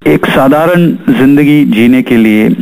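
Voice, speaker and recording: A man interviewing for a radio show in a polite, measured way. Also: medium pitch, gravelly, a little mellow, a little hiss or hum, rough recording. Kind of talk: interview questions.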